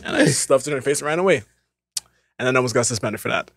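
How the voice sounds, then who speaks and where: A young man, a podcast co-host, telling casual stories with animated voices for the people he quotes. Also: mid-range voice, racing, husky, no room sound, clean studio sound. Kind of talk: casual storytelling.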